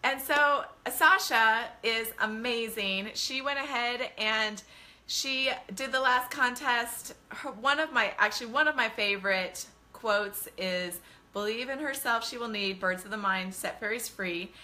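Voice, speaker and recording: A woman's voice: fairly high pitch, a little slow, sharp tone. A woman hosting a casual live bedtime-reading stream as a children's author, chatting to viewers in a gushing, enthusiastic way. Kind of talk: casual livestream chat with viewers.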